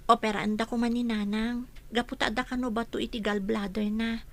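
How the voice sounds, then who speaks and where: A woman's voice: a little high, little pitch movement, fairly quick, a bit bright. A woman with an Ilocano Filipino accent playing a young, respectful, earnest housemaid in a radio drama.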